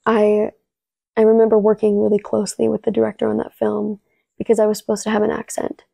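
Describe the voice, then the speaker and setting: A young woman, a native Spanish speaker talking in English, telling stories from her acting work in a relaxed interview with many restarts. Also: mid-range voice, fast, creaky, dry recording. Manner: storytelling, relaxed, frequent "like" and restarts. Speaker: actress, interview guest. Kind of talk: casual interview answers.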